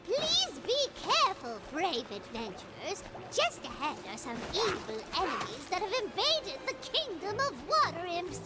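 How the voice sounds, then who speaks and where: Tiny bubbly fairy kid for an anime game, super high squeaky voice, loud music behind. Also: female voice, wildly swooping pitch, unhurried, few pauses, heavily acted. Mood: grand, mock-solemn. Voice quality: squeaky, childlike. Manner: bubbly, theatrical, speaks of self in third person. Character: small excitable childlike fairy girl companion.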